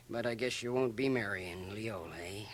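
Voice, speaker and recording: An actor playing a young, gossipy small-town Canadian barber with a folksy, rambling manner in a radio drama. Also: male voice, fairly low pitch, natural voice. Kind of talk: colloquial radio drama dialogue. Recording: slightly hissy cassette of radio drama.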